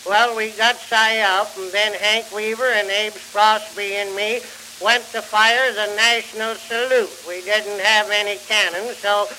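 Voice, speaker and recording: Through the crackle of an early phonograph comes a jovial old country yarn-spinner. His voice is high and very sharp, with a rural American accent. He tells his homespun tales in the style of an early 1900s comic monologue. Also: steady tone, unhurried, heavily acted. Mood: folksy, jovial. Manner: homespun, chuckles between anecdotes.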